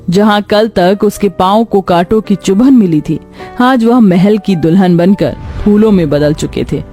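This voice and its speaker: A woman's voice: medium pitch, mellow. A woman narrating a scripted cartoon story.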